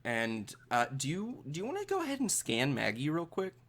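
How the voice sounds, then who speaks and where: A man improvising narration as a tabletop game master, halting and suspenseful, with trailing pauses. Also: fairly high pitch, huge pitch swings, a bit bright.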